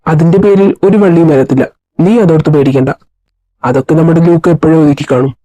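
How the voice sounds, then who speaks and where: A woman voicing a steady male friend and officer who gives firm orders and comforts others in an audio drama. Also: low voice, fast, no room sound. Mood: comforting teasing. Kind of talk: amateur audio drama voice acting.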